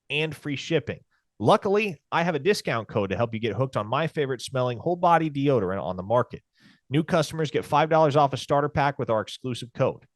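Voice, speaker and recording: A man's voice: mid-range voice, dry recording. Dry, wry male podcast host. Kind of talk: casual sports podcast chat and host-read ad.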